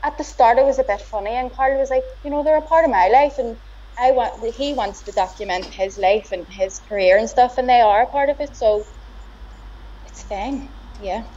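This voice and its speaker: A woman's voice: on the high side, moderate pace, dark tone. Guest, Belfast woman in her thirties, easygoing and laughing, muffled lo-fi call audio. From Northern Ireland. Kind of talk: casual video-call chat. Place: room at home, indoors. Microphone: laptop or phone camera on a video call. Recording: dull lo-fi video-call audio.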